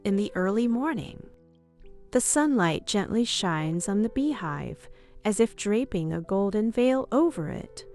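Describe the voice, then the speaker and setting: A woman narrates a bedtime story for young children in expressive read-aloud fashion. Her voice sits at a medium pitch for a woman, and music plays behind her. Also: measured pace.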